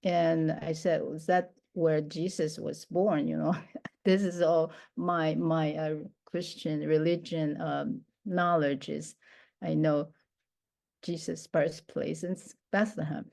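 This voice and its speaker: A middle-aged woman from Taiwan speaks English, her voice low and mellow. She talks slowly and haltingly, full of pauses, fillers and restarts, as she shares a personal testimony. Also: level tone, creaky. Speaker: minister.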